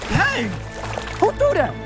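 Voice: very high-pitched, wildly swooping pitch, slow pace, over music, full character voice. An actor playing an easily annoyed, carefree youth on a raft in a radio drama.

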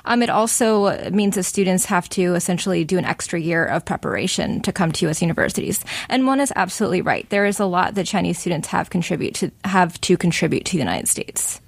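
A young woman, a graduate student, talks as a radio guest in a casual, thoughtful way. Her voice sits fairly low with a gravelly fry. The sound is dry. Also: lively intonation, quick pace, few pauses, dry recording. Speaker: guest, sociology PhD candidate. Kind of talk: radio interview.

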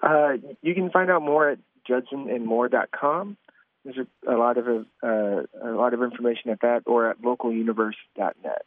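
A man's voice: medium pitch, normal speed, no echo. A man, an event organizer, talking eagerly in a radio interview with frequent fillers. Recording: tinny phone line.

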